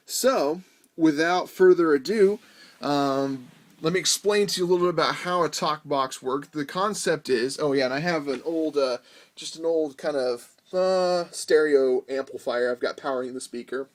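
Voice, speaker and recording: Casual American man, halting how-to talk. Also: fairly high pitch. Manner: halting, informal, thinking aloud. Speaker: host of a DIY music gear video. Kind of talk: casual hands-on demonstration, unscripted.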